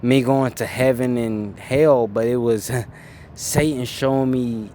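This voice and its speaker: Young man, interview guest, mid-pitched voice, easygoing casual speech. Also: steady tone, takes it slowly, a little hiss or hum. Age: 20s. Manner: laid-back, drops "bro" and "man", trails off softly.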